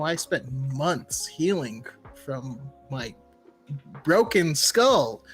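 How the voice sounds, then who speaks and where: A male co-host with a somewhat high voice talks informally. He retells story beats with acted-out reactions, while faint music plays behind. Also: fairly bright tone. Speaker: co-host of a fan livestream. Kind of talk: casual chat, retelling a story.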